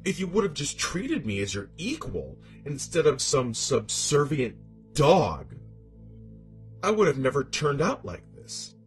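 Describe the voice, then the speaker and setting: A scorned, demonic first wife , acted by a man, delivers a horror monologue of revenge. The delivery is theatrical and highly animated in pitch, moving between hushed menace and taunting outrage. Music plays behind the voice. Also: unhurried. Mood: bitter, accusing. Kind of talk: dramatic horror story monologue.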